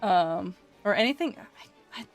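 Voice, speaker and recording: A woman's voice: medium pitch, expressive, a little slow. Giggly woman streamer with Canadian-leaning accent, music behind. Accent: mixed Canadian-leaning North American. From Edinburgh, Scotland. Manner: giggly, chatty. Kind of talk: casual livestream chat. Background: background music.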